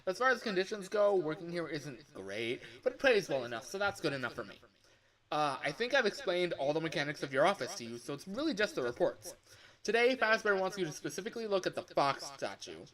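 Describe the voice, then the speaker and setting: A man's voice: a little high, very animated, fairly quick, pitched above the performer's own voice, low-quality recording. A man voicing an upbeat, chatty male office worker briefing a night guard in a scripted game monologue. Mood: chatty, cautioning. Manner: loud, exclamatory greetings, instructional. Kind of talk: scripted video game phone-call monologue.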